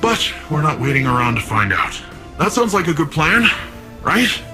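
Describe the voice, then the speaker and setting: An actor playing a cheerful, reckless young hero in a sci-fi game, talking in a casual, breezy way. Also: male voice, a little high, big pitch swings, normal speed, music in the background. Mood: upbeat, breezy. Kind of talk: video game voice acting.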